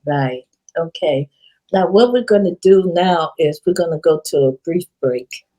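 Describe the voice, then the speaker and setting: Woman host with a low, halting delivery. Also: mellow, low-quality recording. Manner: halting questions with restarts. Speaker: interview host. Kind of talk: casual interview questions.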